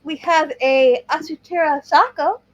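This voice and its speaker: A woman's voice: high voice, unhurried, fairly bright tone, muffled recording. A woman in a tabletop roleplay game, improvising narration and dialogue for her character.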